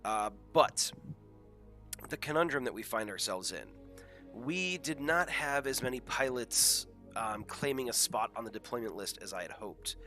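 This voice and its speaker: A man's voice: medium pitch, animated, moderate pace, bright, forceful tone, faint music behind. A man hosting a live game stream, chatting casually and self-deprecatingly to viewers through a headset, congested from a head cold.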